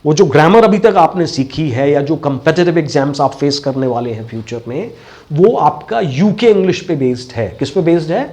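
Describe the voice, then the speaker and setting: Male accent coach, Indian accent, voice a little higher than average, instructive classroom style with deliberate repetition. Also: animated, a little mellow. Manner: didactic, repeats key phrases. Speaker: voice and accent teacher. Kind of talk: bilingual classroom lecture.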